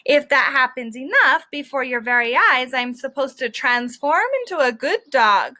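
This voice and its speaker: A woman's voice: sits high, expressive, moderate pace, pushed and bright. A woman voicing a cocky, stubborn stray dog who tells his story in sassy, colloquial children's storybook style. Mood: grumbling, wry. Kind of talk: children's book read-aloud.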